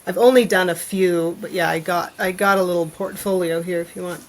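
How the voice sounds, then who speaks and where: A woman's voice: fairly low pitch, fairly even intonation, fairly quick, low-quality recording. A woman talking casually as a podcast guest, in a conversational, informal way. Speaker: podcast guest, illustrator and author. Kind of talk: casual chat.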